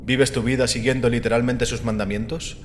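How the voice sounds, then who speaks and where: A man voicing a calm, assured and scornful critic of religion, measured and didactic, in a dramatized audiobook. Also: mid-range voice, slight background noise, lightly acted. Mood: assertive, scornful. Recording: long reverberant hall with music behind. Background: music.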